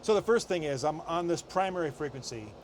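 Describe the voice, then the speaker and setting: A man's voice: a little high, animated, fairly quick, a little hiss or hum. A man with an American accent, an airline captain, explaining procedures in an interview. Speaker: airline captain overseeing pilot training. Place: flight training center, partly inside simulator.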